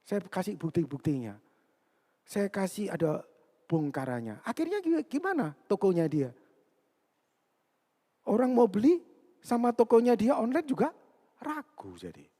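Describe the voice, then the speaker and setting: Male preacher, highly varied intonation, conversational sermon delivery with emphatic repetition, long hall reverb. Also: wildly swooping pitch, a little slow, long pauses, mellow. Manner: conversational, repeats key words and phrases for emphasis. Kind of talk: sermon addressed to a congregation, with personal anecdotes.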